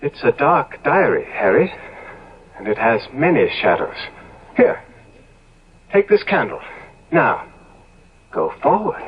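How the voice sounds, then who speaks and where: An actor playing an eternally youthful, corrupted and bitter gentleman killer in 1970s radio drama, with a theatrical, deliberate, sardonic delivery. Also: mid-range voice, huge pitch swings, husky, heavily acted. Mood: ominous. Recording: dull vintage radio recording.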